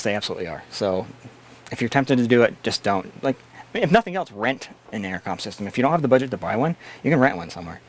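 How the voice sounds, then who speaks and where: A man hosting a casual tech livestream, answering chat questions and explaining things in a blunt, opinionated way. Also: mid-range voice.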